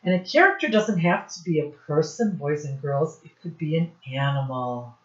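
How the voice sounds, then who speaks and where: A woman teacher reading a storybook aloud to young children in a deliberate, instructive way, pausing to ask them questions. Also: deep voice, expressive, highs cut off.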